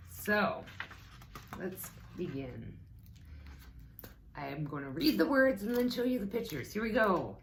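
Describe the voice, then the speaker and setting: An American woman reads a picture book to small children at a slow pace. Her voice sits a little low and swings widely as she turns aside to talk to her listeners. Faint noise sits behind her. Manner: expressive, pausing to talk to young listeners. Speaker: teaching assistant reading to children. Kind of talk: read-aloud children's story time.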